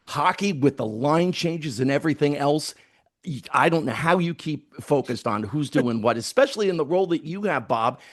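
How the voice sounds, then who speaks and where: An American man acting as interviewer in a casual conversation about sports. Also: on the high side.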